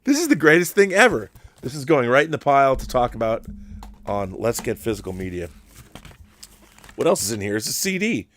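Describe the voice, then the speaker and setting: A man's voice: on the high side, a bit bright. Effusive man hosting a casual stream. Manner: effusive, exclamatory, enthusiastic. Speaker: host of a live stream show. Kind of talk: casual unboxing chat and letter reading.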